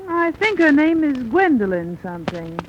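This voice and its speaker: A matter-of-fact mother in 1930s radio comedy style. Her voice is high and lively, on a muffled old broadcast. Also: normal speed, background noise.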